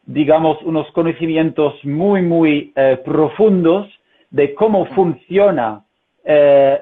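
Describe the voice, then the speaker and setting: Guest voice: a man with a fairly high voice and a learned Castilian Spanish accent, German first language, halting with lots of "eh"s, heard over a thin, phone-like video call. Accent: Castilian Spanish, learned as a second language. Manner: hesitant, many fillers and restarts. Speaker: interview guest, translator. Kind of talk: conversational interview. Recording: tinny phone-like call audio.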